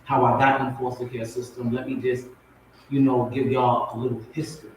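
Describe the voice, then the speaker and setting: A man's voice: mid-range voice, little pitch movement, brisk. Guy telling his life story, emphatic, repeats himself for weight, super echoey and kinda far from the mic. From raised in Compton, California and Portmore, Jamaica. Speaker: spoken word poet, guest on a talk show. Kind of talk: personal testimony, informal storytelling. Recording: very echoey, distant, noisy audio.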